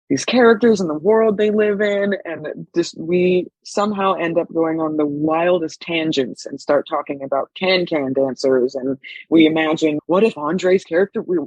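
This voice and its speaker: A man's voice: a little high, darkish tone, dry recording. A man who acts on stage, telling casual anecdotes as an interview guest. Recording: dull, lo-fi dry sound.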